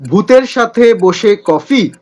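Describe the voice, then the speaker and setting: A man hosting a playful quick-fire question segment in a chatty interview style. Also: high voice, expressive, a little mellow.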